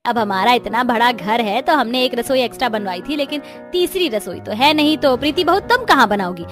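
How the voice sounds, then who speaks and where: Woman playing high-voiced authoritative older mother-in-law. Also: lively intonation, fairly quick, faint music behind, natural voice. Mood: questioning. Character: older mother-in-law, food-loving, authoritative but fair.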